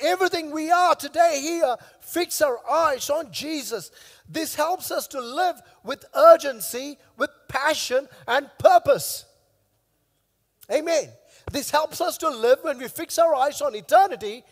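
A man in his thirties, a pastor with a hoarse, worn voice, preaching emphatically on a church stage into a headset mic, repeating key phrases. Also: very high voice, big-room sound. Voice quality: coughing now and then. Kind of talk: sermon. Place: large church stage with long reverb.

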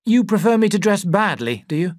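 A man voicing an ambitious young doctor who is eager and quick to take offence in an audiobook. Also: sits high, very animated, a little mellow, no room sound, clean studio sound, pitched above the performer's own voice. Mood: defensive. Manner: eager, defensive.

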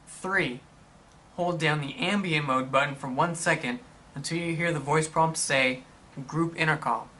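A man reading scripted instructional narration in a measured, explanatory manner for a product tutorial. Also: fairly high pitch, sharp tone, slight background noise.

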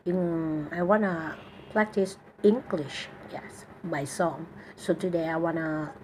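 A woman in her fifties from northern Thailand, speaking halting English with a Thai accent and chatting to camera in a small room at home. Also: sits low, fairly even intonation, a little mellow, slight background noise. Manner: slow, halting English with restarts and fillers. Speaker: tour guide. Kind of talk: casual self-introduction to camera. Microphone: built-in device mic at arm's length.

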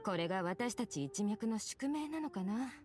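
A serious, perceptive woman physician from a video game , played by an actress. She speaks with concern and measured gravity, her intonation moving widely over soft background music. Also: mid-range voice, animated, lightly acted. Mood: wistful, resigned. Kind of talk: dramatic Japanese game voice acting.

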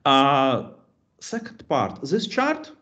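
Man giving a halting technical talk. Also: medium pitch, huge pitch swings, bright, forceful tone. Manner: halting, many filler sounds, non-native grammar. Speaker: meetup speaker. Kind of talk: conference talk on data modeling. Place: indoor room, video call. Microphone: computer mic on a video call. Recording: slightly dull video call audio.

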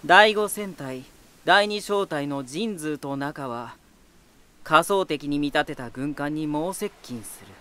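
A playful male lighthouse spirit from an anime-style audio drama. His voice sits fairly high for a man, and he speaks with boyish self-assurance, teasing and talkative, with an eerie taste for battle underneath. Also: takes it slowly, pushed and bright. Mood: intense narration. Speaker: voice actor in a character drama.

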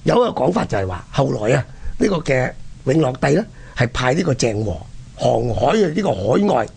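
A man's voice: mid-range voice, very animated, brisk, gravelly, a little mellow, breathy edge. A man with a Hong Kong accent hosting a history programme, telling stories colloquially and posing rhetorical questions. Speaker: radio history programme host. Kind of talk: animated historical storytelling.

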